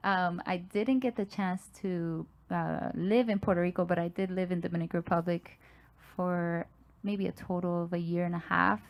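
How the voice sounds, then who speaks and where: A woman from New York, a musician guest, chatting softly with many pauses and fillers. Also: lowish voice, fairly even intonation, dull audio. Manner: soft-spoken, many fillers and restarts. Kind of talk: casual interview chat. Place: home live stream with guitar. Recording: live guitar music loud behind. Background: live guitar and singing.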